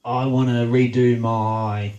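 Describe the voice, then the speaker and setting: A man's voice: mid-range voice, little pitch movement, takes it slowly, darkish tone, roomy sound, muffled recording. An Australian man with a blocked, nasal voice, hosting a blunt, sarcastic rant full of swearing. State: congested, nasal from a blocked nose. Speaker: show host. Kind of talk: casual profane rant.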